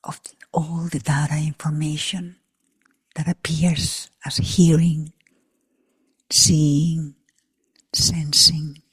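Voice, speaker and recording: A woman guides a group meditation in a low, very husky and breathy voice that hovers near a whisper. She moves slowly, leaving long silences between short phrases. The sound is close and dry. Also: flat delivery, a bit bright, no room sound. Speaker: meditation teacher.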